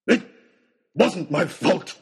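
A man reading a storybook aloud, voicing a wild, ragged hunter who shouts and snarls threats. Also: medium pitch, big pitch swings, slow pace, vocal fry, slightly rough, heavily acted. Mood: raging. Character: wild ragged male hunter, angry and menacing.

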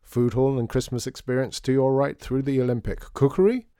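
A man's voice: mid-range voice, steady tone, a little fast, dark tone, clear, resonant tone, no echo, studio quality, natural voice. A man voicing a showy storybook store impresario, declaiming like a grand announcer. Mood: grand announcing.

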